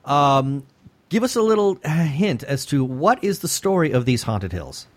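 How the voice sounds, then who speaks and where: A man's voice: a little high, big pitch swings, moderate pace. A man hosting a podcast, putting questions to guests in a casual interviewing style. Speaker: podcast host. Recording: dull lo-fi connection.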